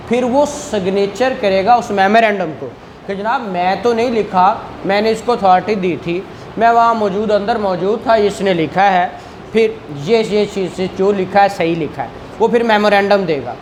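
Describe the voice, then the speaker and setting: A man's voice: high-pitched, rough recording. A man with a Pakistani accent lecturing as a law teacher, reading statute and explaining it step by step, often checking that listeners follow. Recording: clear background noise in the room.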